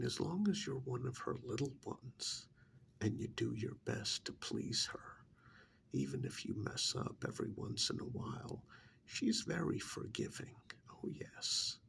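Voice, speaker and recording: A man soft-speaking an ASMR role-play monologue in a breathy, gravelly half-whisper, with deliberate, pointed phrasing and pauses. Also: mid-range voice.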